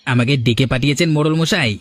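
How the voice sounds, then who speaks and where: A man acting a cheeky village thief in a cartoon folk tale. He rattles along quickly at a medium pitch, sly and wheedling, over light background music. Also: natural voice. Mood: feigned innocence.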